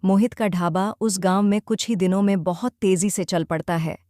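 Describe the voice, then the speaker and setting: A woman reads scripted narration for a moral tale. Her tone is soft-edged and mellow, and the recording is very dry, without room sound. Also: medium pitch, moderate pace, no echo, faint music behind.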